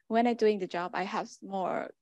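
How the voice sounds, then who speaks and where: A woman's voice: medium pitch, fairly even intonation, moderate pace, a little mellow, no room sound, muffled recording. A young woman from Vietnam, a native Vietnamese speaker, answering as a student panelist in hesitant English with frequent restarts into a handheld mic. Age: young adult. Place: indoor meeting room.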